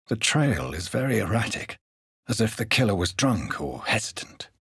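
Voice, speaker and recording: An actor playing a grave, educated gentleman doctor in a video game, stern yet courteous, with a husky voice and formal, measured diction. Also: a bass voice, no room sound, clean studio sound, full character voice. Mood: pondering. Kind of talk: dramatic video game dialogue.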